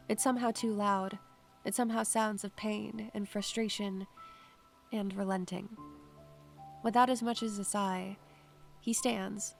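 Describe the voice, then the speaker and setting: A woman playing an anxious, observant first-person narrator in a scripted audio drama, measured and literary. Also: mid-range voice, vocal fry, close to an everyday voice.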